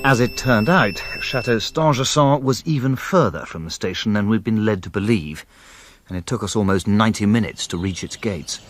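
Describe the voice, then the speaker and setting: An actor playing a loyal, mildly put-upon gentleman companion who narrates a radio mystery drama. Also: lowish voice, expressive, soft background music, lightly acted. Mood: measured storytelling. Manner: measured storytelling, hesitant and long-suffering in dialogue.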